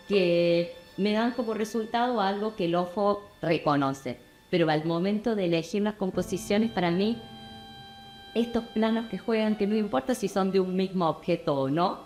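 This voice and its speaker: A woman in her thirties from Argentina with an Argentine accent, a painter telling her story in a documentary interview in a reflective, measured way. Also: fairly low pitch, a little mellow. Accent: Argentine Spanish.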